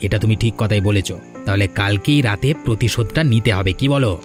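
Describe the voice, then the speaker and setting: A man's voice: on the low side, quick pace, faint music behind, lightly acted. A man voicing a vengeful, scheming village fisherman who plots a beating in a cartoon. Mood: plotting. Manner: plotting, menacing. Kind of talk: cartoon voice acting.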